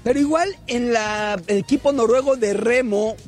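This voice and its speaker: High, husky-voiced Mexican man. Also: normal speed, faint music behind. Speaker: sports show panelist. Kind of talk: casual opinion talk about football.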